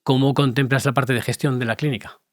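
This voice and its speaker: A man's voice: mid-range voice, steady tone, fast, dry recording. Male podcast host with a mid-range voice, asking short friendly questions; dry close mic. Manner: brief affirmations, friendly questions. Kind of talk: interview questions and sign-off.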